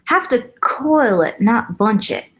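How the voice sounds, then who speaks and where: A woman's voice: fairly high pitch, very animated, slow pace, exaggerated voice. A woman acting a brash, impatient, foul-mouthed fugitive in a screenplay table read. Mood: irritated, sardonic.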